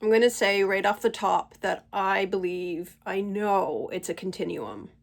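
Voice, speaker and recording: Slow-spoken woman, stuffy from a cold. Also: mid-range voice, creaky. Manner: gentle, unhurried, thoughtful pauses. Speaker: channel host. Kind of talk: slow reflective spiritual monologue. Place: at home.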